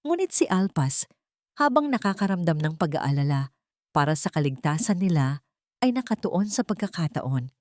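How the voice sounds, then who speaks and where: A woman's voice: fairly low pitch, big pitch swings, normal speed, a little mellow, no room sound, studio quality. A woman reading a scripted dramatic story as its narrator.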